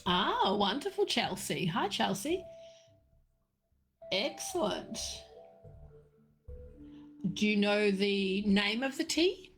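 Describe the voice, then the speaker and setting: Slow, croaky woman chatting about tea. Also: medium pitch, very animated, soft background music. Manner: slow, meandering, many fillers and restarts. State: slightly croaky. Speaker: livestream host answering viewers. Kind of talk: casual live chat about tea.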